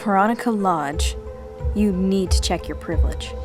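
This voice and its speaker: A woman's voice: mid-range voice, moderate pace, a little mellow, natural voice. An actress playing an earnest, guarded teenage girl who confides in her diary and bristles when challenged, in a radio drama. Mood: indignant. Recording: music under the dialogue.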